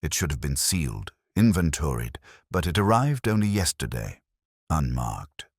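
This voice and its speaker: A man's voice: extremely low pitch, big pitch swings, moderate pace, dry recording, studio quality, exaggerated voice. A man voicing a nervous, bewildered costumier's clerk in an audiobook mystery, formal, hesitant and deferential. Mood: anxious, deferential.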